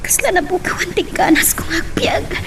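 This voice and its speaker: A woman's voice: extremely high pitch, moderate pace, in a whisper. A woman playing a heartbroken, worn-out young daughter in a melodramatic Ilocano audio drama. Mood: hopeless. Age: young adult. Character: heartbroken, exhausted young daughter who has lost hope.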